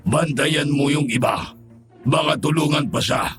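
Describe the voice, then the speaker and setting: An actor playing a cruel, taunting aswang lord in a horror audio drama, speaking with menacing mock politeness. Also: male voice, a little high, a little slow. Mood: cold threat. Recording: music under the voice.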